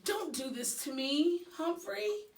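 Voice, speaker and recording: A woman's voice: very high voice, lively intonation, takes it slowly, echoey room, far from the mic, voice pushed up, heavily acted. A woman voicing an exasperated father and school principal who pleads and gives firm orders in a children's storybook. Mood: pleading.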